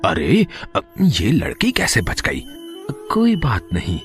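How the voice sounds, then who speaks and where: A woman voicing a greedy, villainous male snack vendor in a cartoon story, gloating and slow. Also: rumbling low, huge pitch swings, faint music behind, voice pushed down, exaggerated voice.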